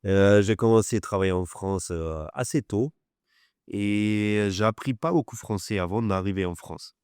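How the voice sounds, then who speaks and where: Man with a German accent, clear voice. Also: lowish voice, moderate pace, a little mellow, no room sound, studio quality. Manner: teasing, storytelling. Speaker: chef. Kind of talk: casual chat.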